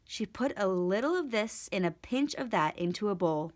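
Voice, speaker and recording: A woman reading a storybook aloud for children in an expressive, deliberate storytelling manner. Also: medium pitch. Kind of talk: scripted storybook read-aloud for kids.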